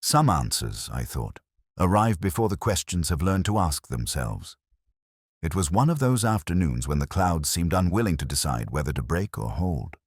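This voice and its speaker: A man with an extremely deep voice narrates a detective story in the first person. His delivery is measured and reflective, with a literary turn and lively movement in pitch. The sound is dry, with very little room around it. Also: slightly rough, no room sound.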